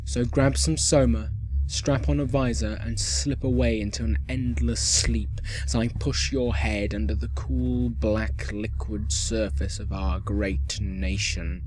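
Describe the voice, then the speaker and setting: A man's voice: on the low side, steady tone, slow pace, slight background noise, pitched below the performer's own voice, low-quality recording. A man playing a cheerful yet sinister dystopian radio host, delivering scripted propaganda in a theatrical radio-play style. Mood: ominous, hypnotic. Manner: theatrical, dramatic, swinging from grand proclamation to hushed menace. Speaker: radio announcer.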